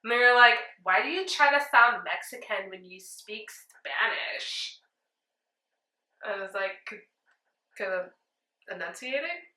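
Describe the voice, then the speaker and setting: A woman's voice: a little high, unhurried, pauses often. A young woman in her twenties doing a friend's makeup while chatting casually and telling jokey stories at home. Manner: chatty, jokey, animated storytelling. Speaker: makeup artist host. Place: small indoor room at home. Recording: echoey room.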